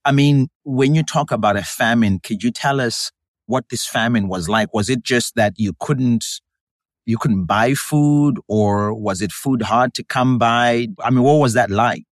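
A man with a Zimbabwean accent hosting an interview podcast, speaking in an earnest, measured and emphatic way. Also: mid-range voice, dry recording, clean studio sound. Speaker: podcast host and democracy activist.

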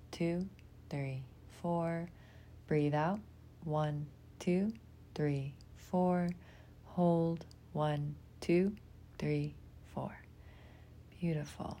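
A woman leading a guided imagery meditation in a soft, breathy, gentle voice, leaving long pauses between short, soothing phrases. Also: sits low.

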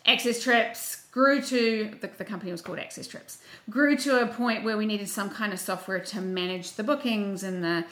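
A woman from New Zealand with a clear New Zealand accent talks through her background in a chatty, self-deprecating way, full of small fillers. Her voice is bright and forceful, and it sounds roomy, as if recorded in an echoey space. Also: medium pitch, moderate pace. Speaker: guest. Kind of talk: casual interview talk.

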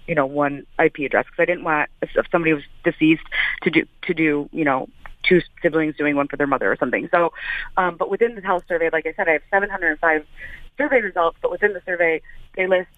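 A woman's voice: low-pitched, fast, no room sound. A woman in her late thirties from Michigan giving an earnest conversational account as an interview guest, repeating phrases for emphasis. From Michigan, United States. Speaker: interview guest, community activist. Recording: thin phone-like line.

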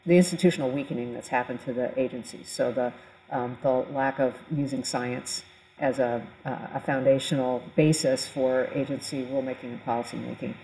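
A woman's voice: very deep voice, gravelly, warm tone, a little hiss or hum, rough recording. A woman explaining policy conversationally as an expert panelist on a podcast.

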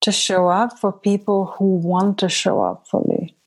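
A woman from India speaks as a podcast guest at a slow, unhurried pace. Her talk is loose and reflective, dotted with "like" and easy laughter, and her pitch sits in a middle range. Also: sing-song, slightly rough. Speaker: podcast guest, healing facilitator. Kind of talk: casual podcast conversation.

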